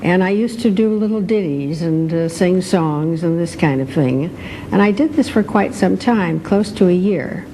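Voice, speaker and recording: Older American woman, low voice, interview reminiscence, careful hesitant manner, faint noise behind. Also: animated, moderate pace, dark tone, low-quality recording. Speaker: former radio actress.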